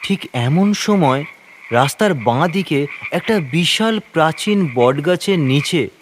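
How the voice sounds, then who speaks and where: Highly animated male horror narrator, rain behind. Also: slow, slight background noise. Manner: suspenseful, expressive. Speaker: story narrator. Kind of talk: dramatic horror story narration.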